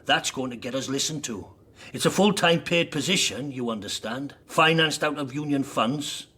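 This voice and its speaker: Husky, forthright union man. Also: medium pitch, lively intonation, normal speed, pushed and bright. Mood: persuasive. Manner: forthright, rhetorical, persuasive. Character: blunt, fervent socialist Welsh miners' union man. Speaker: actor in radio drama. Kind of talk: radio drama acting.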